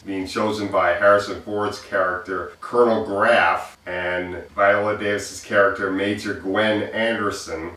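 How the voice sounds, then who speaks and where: A man's voice: low voice, fairly bright tone. A man giving a casual, slightly rambling spoken movie review as an amateur critic, with emphatic delivery. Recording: roomy echo, distant microphone.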